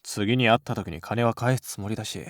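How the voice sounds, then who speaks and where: A man playing an aloof, gruff high school boy with curt, rough speech and a bashful streak, in an anime-style voice drama. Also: brisk, no room sound, clean studio sound, lightly acted. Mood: cool, guarded.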